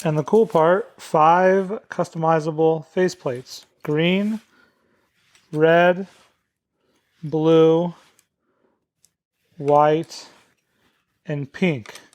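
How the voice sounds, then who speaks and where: Man giving step-by-step instructions, slightly higher voice. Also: fairly even intonation, extremely slow, pauses often, a little mellow. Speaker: product demonstrator.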